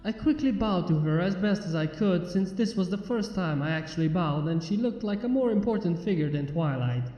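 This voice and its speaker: High-voiced man narrating, drenched in reverb. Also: animated, normal speed, few pauses, warm tone. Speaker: fan fiction narrator. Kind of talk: scripted story narration. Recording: muffled, echoey long reverb under music. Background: music.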